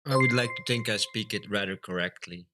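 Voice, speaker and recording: A Flemish man from Belgium whose first language is Dutch, chatting casually on a live stream, halting and full of fillers. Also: lowish voice, sharp tone, soft background music. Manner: halting, lots of fillers and restarts. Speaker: livestreamer.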